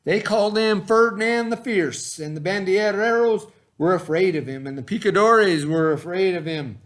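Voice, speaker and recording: A man reading aloud and talking to children in simple, expressive phrasing, like a teacher. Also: sits high, moderate pace. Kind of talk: children's story read-aloud, then informal talk to children.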